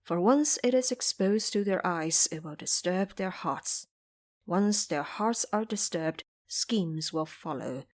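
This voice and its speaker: A cautious Buddhist master from an audio drama, acted by a woman. He speaks in a lowered, hushed voice, formal and stern as he admonishes. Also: lively intonation, slow pace. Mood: hushed warning.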